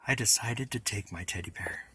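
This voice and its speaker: Expressive, bright-voiced man reading a storybook. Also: on the low side, moderate pace, slight background noise. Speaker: storybook reader. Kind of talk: expressive children's story narration.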